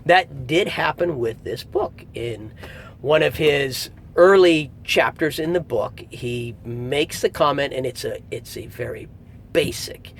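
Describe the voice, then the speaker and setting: A middle-aged man giving a casual spoken review in a conversational, emphatic way, pausing for effect. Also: fairly bright tone. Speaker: audiobook reviewer. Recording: faint low rumble, like vehicle noise.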